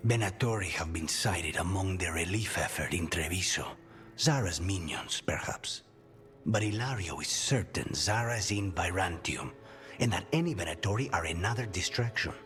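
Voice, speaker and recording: Low-voiced cool assassin. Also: male voice, expressive, moderate pace, a bit bright, faint music behind. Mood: guarded. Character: cool, vengeful male assassin, controlled and dry. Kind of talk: cinematic fantasy game dialogue.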